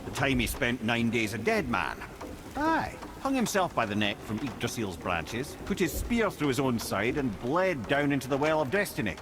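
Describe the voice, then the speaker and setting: An actor playing a wry, learned old Scottish storyteller in a video game, telling tales theatrically. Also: male voice, medium pitch, big pitch swings, normal speed, noisy background, lightly acted.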